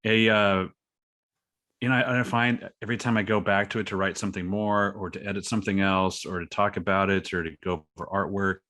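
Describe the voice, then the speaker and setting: A man's voice: low-pitched, fairly even intonation, fairly quick, bright, forceful tone. A man talking casually and earnestly as a guest in an interview, in a reflective way. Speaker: podcast guest, writer.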